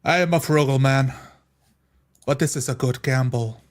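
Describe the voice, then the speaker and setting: A man's voice: medium pitch, moderate pace, lightly acted. A man playing a solemn, frugal dwarf who is doubtful and speaks in formal, terse phrases. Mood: wary.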